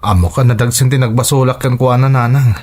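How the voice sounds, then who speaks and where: A man's voice: mid-range voice, brisk, a little mellow, close to an everyday voice. A man playing a regretful husband and son who questions and pleads in an audio drama. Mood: anxious, regretful. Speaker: voice actor. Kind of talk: Ilocano audio drama acting.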